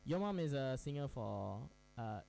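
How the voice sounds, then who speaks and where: A man's voice: medium pitch, very animated, measured pace, gravelly, dark tone, slight background noise. A man hosting a campus radio interview, chatty, laughing often, with lots of fillers and restarts. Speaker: radio host.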